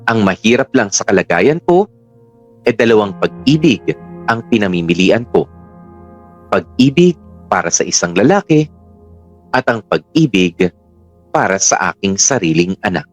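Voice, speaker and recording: A man's voice: fairly low pitch, very animated, moderate pace, pauses often, faint music behind. A man with a Filipino accent performing reflective first-person radio drama narration as a lovestruck middle-aged parent. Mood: wistful, torn. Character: middle-aged parent in love, confiding, hopeful storyteller.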